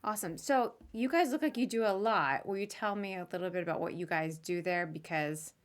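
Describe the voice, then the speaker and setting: A woman with a slightly low voice hosts a casual live interview. She talks in a chatty, informal way and is quick to poke fun at herself. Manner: chatty, informal, self-deprecating. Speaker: podcast host interviewing a guest.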